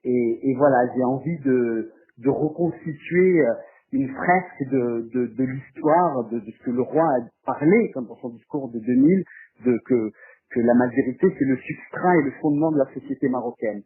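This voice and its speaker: A man's voice: medium pitch, moderate pace, slightly rough. A man from France, giving spontaneous interview answers as a filmmaker, hesitant and often repeating words as he searches for phrasing. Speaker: documentary filmmaker being interviewed. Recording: muffled, phone-like narrow band.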